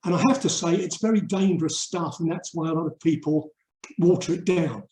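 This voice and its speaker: Older man, mellow voice in echoey room. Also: fairly high pitch, fairly quick. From England. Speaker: urban mission speaker and theologian. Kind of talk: reflective webinar talk. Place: indoor room, online webinar. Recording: roomy, echoey webinar audio.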